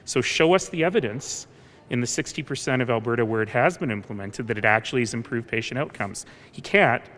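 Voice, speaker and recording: Deliberate, pointed man speaking in an echoing hall. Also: animated, fairly bright tone. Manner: deliberate, pointed, with rhetorical questions and emphatic pauses. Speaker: civic official. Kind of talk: argumentative public speech. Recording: echoing hall with low rumbling background noise.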